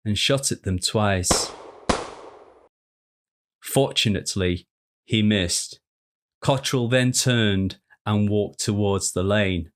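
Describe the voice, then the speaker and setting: A man narrating a historical crime tale in a measured, deliberate, dramatic storytelling style. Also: lowish voice.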